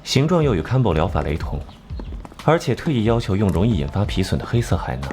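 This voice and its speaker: An actor playing a cold, aloof and exacting detective consultant in an animated crime drama, speaking in formal, clipped, literary phrases. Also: male voice, fairly low pitch, fast, soft background music. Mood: analytical.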